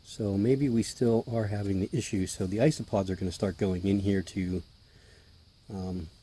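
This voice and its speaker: Hoarse, low-voiced man filming his spiders. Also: steady tone, moderate pace, a little hiss or hum. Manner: chatty, offhand, drops to a hush while watching. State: hoarse, clearing his throat. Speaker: tarantula keeper filming feedings. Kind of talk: casual hobby vlog narration.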